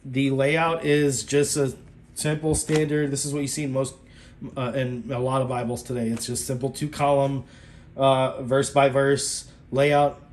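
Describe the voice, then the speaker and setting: A man's voice: medium pitch, slight background noise. A man casually reviewing a study Bible to camera, rambling with fillers and restarts.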